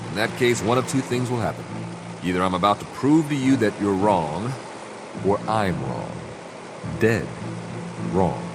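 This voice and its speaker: Deep-voiced, theatrical investigator. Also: male voice, animated, noisy background. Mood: intense, persuasive. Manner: deliberate, probing, theatrical, with pauses and rhetorical questions. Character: self-assured male aviation investigator chasing an uncanny theory. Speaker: investigator for an aviation agency. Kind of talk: dramatic radio drama acting. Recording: music behind the voice.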